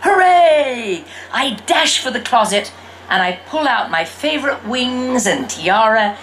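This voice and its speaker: A woman reading a storybook in the first person as an exuberant young girl who fancies herself a fairy princess, with theatrical, drawn-out emphasis. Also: on the high side, very animated, fairly bright tone, a little hiss or hum. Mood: dramatic, excitable.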